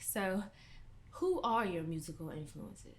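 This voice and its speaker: A woman's voice: mid-range voice, big pitch swings, takes it slowly. A young woman hosting a casual interview, speaking bluntly with frequent laughter and profanity. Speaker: host. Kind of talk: casual chat.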